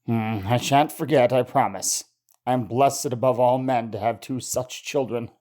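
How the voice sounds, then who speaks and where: A man playing a gentle, absent-minded scientist husband in an old time radio drama, musing and hesitant. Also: medium pitch, moderate pace, close to an everyday voice. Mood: musing, tender.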